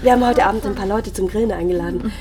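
A woman's voice: medium pitch, rapid-fire, a crowd in the background, lightly acted. An actress playing a friendly, welcoming young wife and mother in a TV drama, affectionate and hospitable. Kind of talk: naturalistic German TV drama dialogue.

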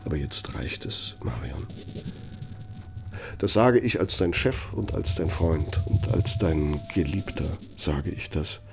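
An actor playing a pompous, bitter older former Nazi functionary dictating in a German radio play, sardonic and domineering. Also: male voice, low voice, gravelly, a little hiss or hum, exaggerated voice. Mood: bitter, reproachful.

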